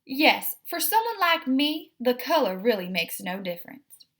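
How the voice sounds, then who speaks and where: A woman voicing a vain, fussy, excitable female pet cat in a prim, dramatic way for a children's storybook. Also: sits high, a little slow, voice pushed up, full character voice. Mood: vain, indignant. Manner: prim, self-admiring, dramatic.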